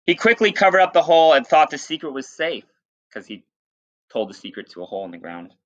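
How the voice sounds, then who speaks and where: A man's voice: fairly high pitch, big pitch swings, fast. A man reading a story aloud like a teacher, with informal, instructive asides to his listeners. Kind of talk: read-aloud storytelling with plain explanatory asides. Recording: dull, lo-fi sound.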